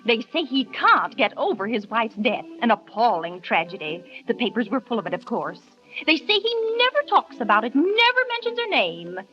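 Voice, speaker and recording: An actress in a 1940s radio drama playing an older snobbish society matron, bossy and gushing. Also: female voice, a little high, big pitch swings, normal speed, faint music behind. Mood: gossipy. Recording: dull lo-fi old radio recording.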